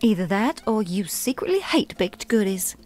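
Give me a flirtatious, teasing belle with a syrupy drawl. Her pitch swoops up and down playfully, sweet and coy, straight from a dating game. Faint music plays behind her. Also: sing-song, moderate pace, a little mellow. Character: flirtatious Southern belle woman, sugary and teasing. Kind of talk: video game character dialogue.

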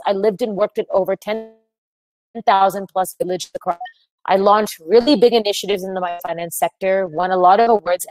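A woman's voice: mid-range voice, dry recording, poor audio. An American woman in her thirties giving an earnest, reflective webinar talk over a video call, with frequent fillers. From United States. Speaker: webinar speaker, entrepreneur.

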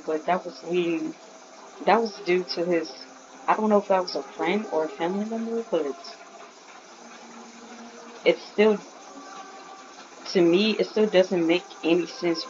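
A woman giving casual game commentary as a streamer, informal and halting in her delivery. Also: on the low side. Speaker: gaming streamer. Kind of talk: casual game commentary, reading captions aloud. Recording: dull, noisy lo-fi mic.

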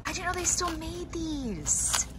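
A young woman chatting bubbly and fast to her handheld camera while shopping in a mall and candy store. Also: very high voice, very animated. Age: young adult. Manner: bubbly, chatty, quick gasps and laughs. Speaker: vlogger. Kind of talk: casual shopping vlog. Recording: noisy mall ambience.

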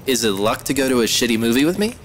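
A man's voice: mid-range voice, big pitch swings, quick pace, slight background noise, close to an everyday voice. A man playing a defensive, wisecracking young dropout in casual audio drama dialogue. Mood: teasing, defensive.

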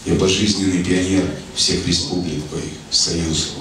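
A middle-aged man from the Soviet Union speaking and reciting poetry on stage, in a measured, declamatory manner. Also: low voice, steady tone, recorded from a distance, crowd noise. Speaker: poet and performer at a bard club evening. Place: small club stage, indoors. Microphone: handheld stage microphone. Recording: echoey club PA from afar, noisy.

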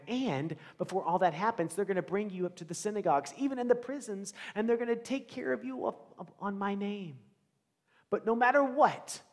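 A middle-aged American man preaching a conversational sermon, with animated storytelling, rhetorical questions and dramatic pauses. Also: mid-range voice. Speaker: pastor. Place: large church sanctuary, indoors, before a congregation. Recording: reverberant church hall.

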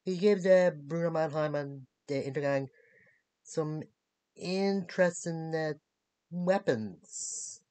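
Young male vlogger for a casual recap: unhurried, halting, disjointed phrasing, dry sound. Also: a little high, mellow, no echo. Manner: halting, disjointed delivery, searching for words. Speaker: reviewer of animated series. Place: small cluttered bedroom, indoors.

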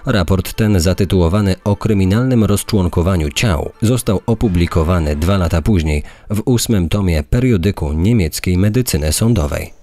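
A man reading scripted true-crime narration in a measured, serious manner. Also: low-pitched, dark tone.

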